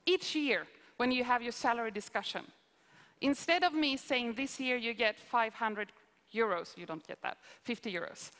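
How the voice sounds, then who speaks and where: A woman's voice: a little high, expressive, moderate pace, a bit bright. Swedish-accented woman in a conference hall. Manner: hesitant, explains with examples. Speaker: conference speaker answering questions. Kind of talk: live Q&A on stage.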